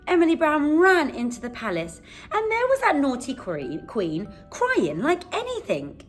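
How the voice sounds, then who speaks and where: A woman reads a children's bedtime story expressively, with dramatic, deliberate pauses. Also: high-pitched, huge pitch swings, soft background music.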